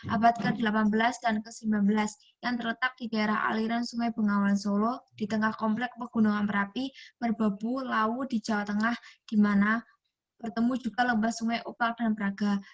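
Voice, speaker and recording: A woman's voice: fairly high pitch, flat delivery, fairly quick. A young woman from Indonesia, a university student reading a class presentation aloud from a text and often restarting words. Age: young adult.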